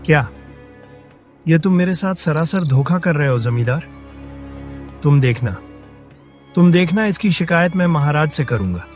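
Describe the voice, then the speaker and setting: A man's voice: a little high, animated, normal speed, pauses often, soft background music, close to an everyday voice. A man voicing a poor, honest village farmer in a cartoon story, plaintive, earnest and indignant. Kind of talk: Hindi cartoon moral-story voice acting.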